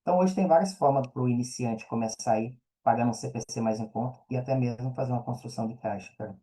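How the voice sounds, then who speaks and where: Mellow Brazilian man as podcast guest. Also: medium pitch, fast, rough recording. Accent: Brazilian Portuguese. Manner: slangy, explanatory, peppered with check-in tags. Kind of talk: casual interview chat.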